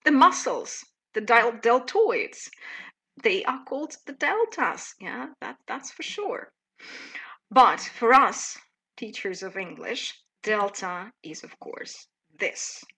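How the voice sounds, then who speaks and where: Bright-voiced Russian woman hosting a webinar. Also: fairly high pitch, slow, gravelly. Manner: chatty, lively, with many fillers. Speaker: webinar presenter, teacher trainer. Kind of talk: live webinar presentation.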